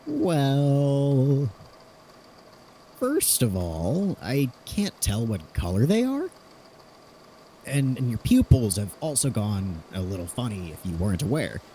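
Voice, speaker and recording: A man's voice: medium pitch, wildly swooping pitch, slow pace, warm tone, slight background noise. A man playing a curious, analytical theorist in an audio drama, conversational and inquisitive. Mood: intrigued, careful.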